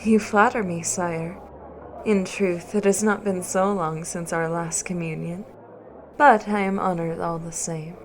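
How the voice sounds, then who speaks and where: A woman's voice: lowish voice, steady tone, unhurried, a little hiss or hum. A woman playing a gracious, deferential noble huntress in a fantasy audio drama, speaking in a formal, polite way. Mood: respectful, fond. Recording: loud music under the voice.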